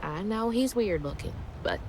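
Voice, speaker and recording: A woman's voice: medium pitch, expressive, moderate pace, darkish tone, slight background noise, lightly acted, poor audio. A woman playing a headstrong, sarcastic teenage daughter in a video game, with a Southern US accent and a casual, grumbling way of talking. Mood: wistful, wry. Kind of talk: naturalistic game cutscene acting.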